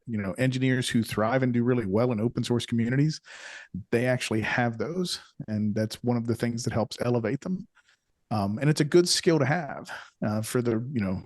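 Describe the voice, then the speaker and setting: A man's voice: mid-range voice, normal speed, no room sound, studio quality. A middle-aged man co-hosting a podcast, reflective and conversational, with frequent you-knows. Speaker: podcast co-host.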